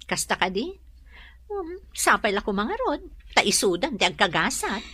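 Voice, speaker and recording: An actress with an Ilocano accent playing a frank, supportive best friend in a radio drama, giving emphatic advice. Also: female voice, medium pitch, big pitch swings, a little slow, bright, forceful tone, husky, no room sound, heavily acted. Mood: glad, curious. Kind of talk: Filipino radio drama dialogue acting.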